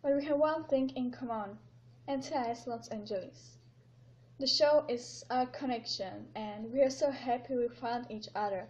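A woman's voice: fairly high pitch, moderate pace. A young woman from the Czech Republic sending a heartfelt birthday video greeting as a fan, speaking into a webcam in a small room. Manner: earnest, heartfelt. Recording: dull lo-fi webcam audio.

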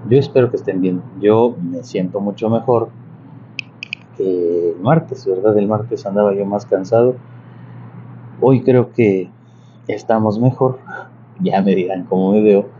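A man with a low, very dark and mellow voice teaches informally. He talks in a chatty, halting way, drifting into asides. The recording is muffled, with clear noise behind him. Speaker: church organist and psalm-singing teacher. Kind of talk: informal video lesson with casual digressions. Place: large bright interior beside an organ.

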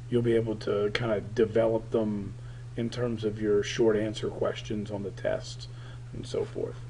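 A man records a lecture over his slides, his voice raspy and gravelly while it recovers. The tone is dark and mellow, and he talks in a halting way with many fillers. A faint hum sits behind the computer mic. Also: fairly low pitch, poor audio. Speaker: political science teacher.

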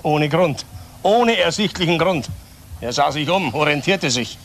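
An actor playing a bitter, defiant middle-aged father in a radio crime drama, speaking in emphatic broken phrases with pauses. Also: on the high side, lively intonation, a bit bright, a little hiss or hum. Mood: insistent. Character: bitter, defiant father of grown sons under suspicion.

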